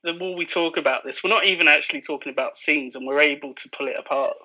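A man in a casual podcast chat who thinks aloud about films. His voice is fairly high and very bright. He repeats his first words before getting going. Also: fast talker, low-quality recording. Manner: musing, stammering on opening words. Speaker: podcast co-host. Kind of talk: casual film discussion.

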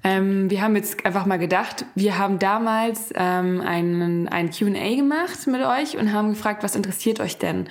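A woman's voice: medium pitch, moderate pace, few pauses. Podcast co-host: woman, conversational and thoughtful, feeling her way through sentences.

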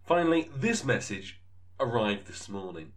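A man hosting a comedy podcast in a mock-serious, deadpan announcer style. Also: mid-range voice, normal speed. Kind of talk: mock-serious sketch presenting.

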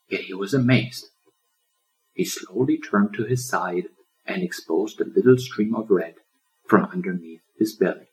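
A man's voice: low voice, darkish tone, rough recording. A man reading a children's story as scripted audiobook narration. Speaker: author reading his audiobook.

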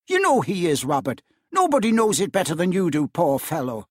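A man's voice: fairly high pitch, very animated, measured pace, husky, no echo, studio quality, pitched above the performer's own voice, heavily acted. Man putting on an indignant Victorian mother. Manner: indignant, sarcastic.

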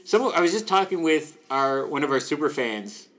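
A man with a Canadian accent chatting casually as a podcast co-host, animated and playfully nerdy. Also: on the high side, normal speed. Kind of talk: casual chat.

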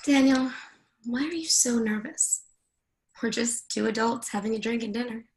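A woman's voice: a little high, moderate pace, lightly acted, rough recording. A woman playing a confident, jaded senator's wife in a screenplay reading, teasing, assertive and freely swearing. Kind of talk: dramatic screenplay table read.

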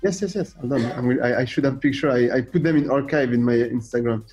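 A middle-aged man with a French accent, speaking with a dark, mellow tone at an ordinary pitch. He talks animatedly as a radio guest and peppers his points with rhetorical questions. Faint music plays underneath. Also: fast. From France. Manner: animated, fires rhetorical questions, acts out quoted voices. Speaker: guest artist. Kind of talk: radio interview.

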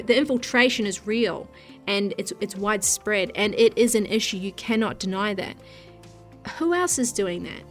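Kiwi-born woman, 30s, Australian accent, mid-pitched, earnest host speaking with conviction over faint music. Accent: Australian-tinged New Zealand. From New Zealand. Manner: earnest, passionate, uses fillers like "you know" and "look". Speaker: news show host. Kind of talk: casual interview talk.

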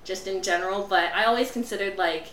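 A woman's voice: medium pitch, a little fast, a bit bright. Woman heard from a distance, echoey. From United States. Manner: rambling, lots of "like". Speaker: interviewee. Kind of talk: casual interview answers. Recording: distant mic in echoey room with ambient noise.

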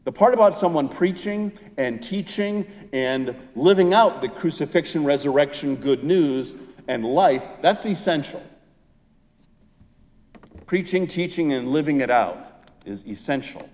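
An American man preaching a sermon as a pastor, in a deliberate, emphatic way with pauses between phrases. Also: fairly high pitch, expressive, a little mellow. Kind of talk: Easter sermon, measured scripted preaching. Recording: muffled, long church-like reverb.

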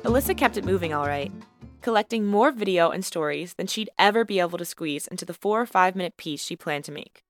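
A woman narrating a student podcast episode in a measured, lightly wry scripted style. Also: on the low side, animated, over music. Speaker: podcast host and student reporter.

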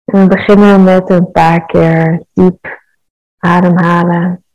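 A woman leading a guided meditation in a calm, measured, soothing manner. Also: lowish voice, no echo, dull audio.

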